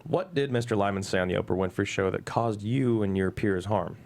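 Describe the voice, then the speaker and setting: A man playing a formal, courteous plaintiff's attorney, questioning in a measured way in a courtroom drama table read. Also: lowish voice, vocal fry, a little mellow.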